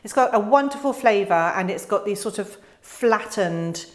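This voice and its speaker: A woman's voice: mid-range voice, lively intonation, normal speed. A young British woman hosting her own gardening channel, explaining tomato varieties in a friendly, chatty way with the odd filler. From United Kingdom. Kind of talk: casual instructional voice-over.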